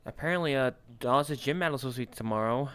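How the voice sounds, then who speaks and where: A man with a smooth, clear, mid-range voice speaks casually in character as a player in a roleplay game. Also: medium pitch, fast talker, a bit bright.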